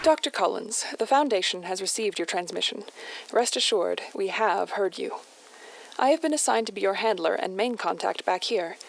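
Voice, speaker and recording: A woman playing a formal, composed agency handler in an audio drama, speaking in a measured, official, politely firm way. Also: medium pitch, expressive, gravelly, noisy background. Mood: formal, mildly stern. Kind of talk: audio drama scripted radio message.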